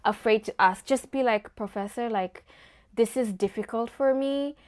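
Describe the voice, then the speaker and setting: A woman's voice: fairly high pitch, normal speed, darkish tone. A young woman from Kenya, an engineering student, chatting casually as a guest and filling her talk with "like" and "you know". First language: not English; English one of her first languages. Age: young adult. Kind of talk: casual conversation.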